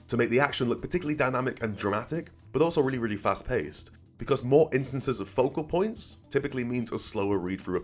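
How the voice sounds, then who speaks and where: A man narrating an explanatory video essay as its host, conversational and analytical, often checking in with the listener. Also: lowish voice. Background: music under the voice.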